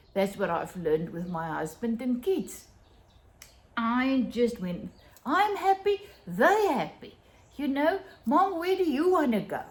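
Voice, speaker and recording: A woman's voice: on the high side, a little mellow. A middle-aged South African woman whose first language is Afrikaans, chatting to camera in a gushing, enthusiastic way about things she has found. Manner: gushing, enthusiastic, exclaiming over small finds. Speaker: vlogger. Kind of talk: casual chat to camera.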